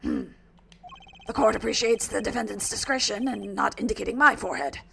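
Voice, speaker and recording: A woman voicing a fussy, easily flustered older male judge, with a gravelly, pompous manner. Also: on the high side, animated, a little fast, sharp tone, a little hiss or hum, full character voice. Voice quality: gravelly creaky voice.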